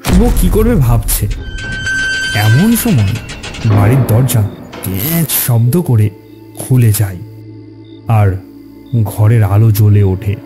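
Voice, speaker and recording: A man's voice: fairly low pitch, expressive, slow pace, pauses often. A man narrating a scripted ghost story in a dramatic storytelling style. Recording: clear music bed under narration. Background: music.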